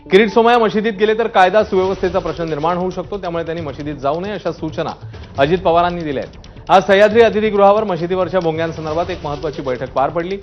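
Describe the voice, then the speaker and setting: Guy anchoring tv news, dramatic, talks fast, music bed under a slightly dull sound. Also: high-pitched. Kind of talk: scripted TV news narration.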